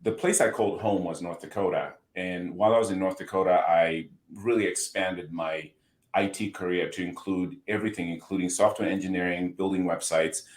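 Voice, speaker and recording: A middle-aged man with a Zimbabwean accent and a very low voice, easygoing as a podcast guest. He often stumbles and repeats a word before getting going. The room gives his voice a noticeable echo. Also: brisk. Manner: relaxed, repeats words as he restarts, chuckles easily. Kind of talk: casual podcast interview. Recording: roomy echo.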